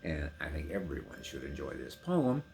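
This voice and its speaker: A man's voice: a bass voice, sing-song, normal speed, creaky, darkish tone, slight background noise. A middle-aged man giving a casual personal introduction to a reading, with emotive, theatrical emphasis. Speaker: poetry reader and trained actor.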